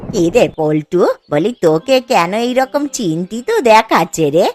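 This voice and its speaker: A woman voicing a caring older village mother in a cartoon, gentle and coaxing. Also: lowish voice, lively intonation, moderate pace, close to an everyday voice. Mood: concerned. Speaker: cartoon character.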